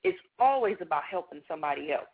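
A woman's voice: low-pitched, animated, quick pace. A woman guest giving motivational, preachy advice about purpose in a radio interview. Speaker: guest, natural hair business owner. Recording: tinny phone line.

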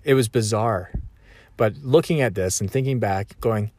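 A man's voice: lowish voice, moderate pace, a little mellow. A man talking reflectively in a conversational, self-correcting way. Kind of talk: reflective solo talk.

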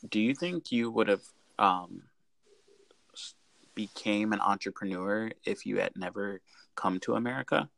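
A man hosting a casual interview, phrasing his questions in a halting, thoughtful way. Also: lowish voice, gravelly, a bit bright, no room sound, low-quality recording. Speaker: interviewer host.

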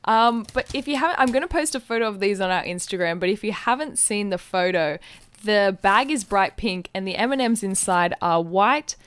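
A woman co-hosting a podcast in a chatty, playful, casual way, with animated reactions. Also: mid-range voice, normal speed, smooth, no room sound. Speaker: podcast co-host. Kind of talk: casual podcast chat, live taste test.

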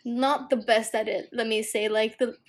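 Woman, fairly high voice, podcast co-host, casual chat, halting, lots of fillers. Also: fairly even intonation. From Singapore. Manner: hesitant, many fillers and restarts. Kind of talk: casual discussion.

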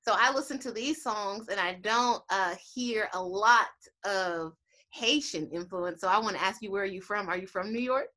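A woman's voice: mid-range voice, normal speed, sharp tone. Chatty young woman interviewer from Los Angeles. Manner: chatty, friendly, relating guest's story to her own life. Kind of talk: casual interview conversation.

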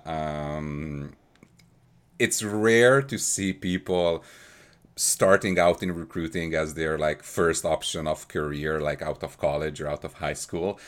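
A male podcast host with a very low voice. He asks his questions haltingly, circling back and restarting his phrases. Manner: hesitant, many restarts and fillers. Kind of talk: interview questions.

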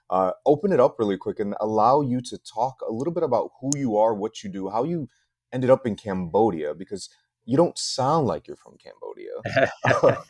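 A middle-aged American man hosting a casual podcast interview, talking in a halting way with repeated words. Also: on the low side, a little mellow, dry recording, clean studio sound. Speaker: podcast host.

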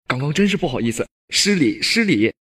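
An actor playing an anxious, flustered, apologetic young manservant with a husky voice in a Chinese period audio drama. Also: male voice, a little high, very animated, brisk, fairly bright tone, full character voice.

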